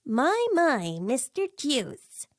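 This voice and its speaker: Woman putting on a squeaky, drawling male circus ringleader. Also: very animated, no echo, clean studio sound, exaggerated voice. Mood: smug, imperious. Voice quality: squeaky, helium-like, chipmunk-like. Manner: slow, drawn-out, theatrical, smug. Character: tall sneering male circus ringleader, imperious, squeaky, sinister. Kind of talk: fan fiction audio story character voice.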